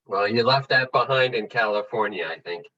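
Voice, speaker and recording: Male host, sharp bright tone, casual small talk, distant mic, lo-fi. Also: lowish voice, moderate pace. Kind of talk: casual video call chat. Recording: distant, dull video call audio.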